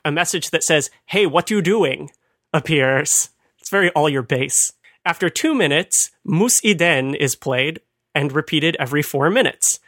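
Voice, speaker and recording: A man's voice: a little high, a bit bright, no room sound. Chatty man explaining, dry close sound. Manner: chatty, laughs at his own asides. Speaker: podcast host. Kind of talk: casual explanatory chat.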